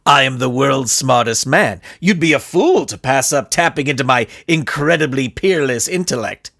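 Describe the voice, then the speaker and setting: A man voicing a pompous, scheming supervillain genius with grandiose, measured delivery for a storybook. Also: medium pitch, expressive, vocal fry, a bit bright, dry recording, clean studio sound. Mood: smug, grandiose.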